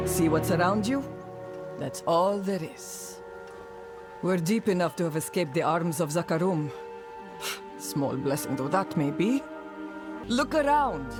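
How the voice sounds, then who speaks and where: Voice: mid-range voice, close to an everyday voice. A video game voice playing a weary swamp villager who talks in a dry, resigned, matter-of-fact way. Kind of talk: video game cutscene acting. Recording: game soundtrack behind voice.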